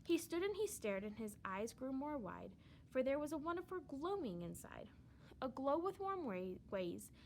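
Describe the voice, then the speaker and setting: A woman reading a children's storybook aloud in verse, with expressive, dramatic emphasis. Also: sits high, a little hiss or hum.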